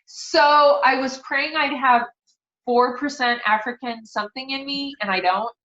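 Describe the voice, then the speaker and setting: A woman's voice: high voice, a little slow, pushed and bright, lo-fi sound. Earnest middle-aged woman, roomy sound. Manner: earnest, devout, personal. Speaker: interview guest, author and speaker. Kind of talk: casual personal interview, storytelling.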